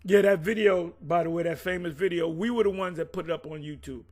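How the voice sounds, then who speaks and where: A man in a casual conversation, recounting past events bluntly and with rough language, often restarting his sentences. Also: fairly high pitch, brisk, darkish tone. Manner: blunt, profane, rambling with many restarts. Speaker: former group member recounting events.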